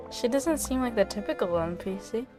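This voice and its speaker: Unhurried woman commenting on a game. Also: mid-range voice. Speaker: gaming streamer. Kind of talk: casual playthrough commentary. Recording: game music under the voice. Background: video game music.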